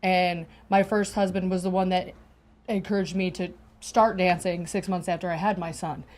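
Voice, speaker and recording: A woman in her thirties, a panel guest, speaking in a measured, reflective and confiding way. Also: on the low side, little pitch movement, a little mellow. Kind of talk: casual panel discussion.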